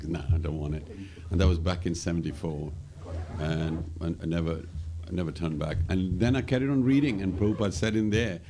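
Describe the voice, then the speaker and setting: A man's voice: low voice, background noise. A man in his seventies from Kenya, giving a rambling, anecdotal talk to students, with many restarts and fillers. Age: 70s. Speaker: temple speaker.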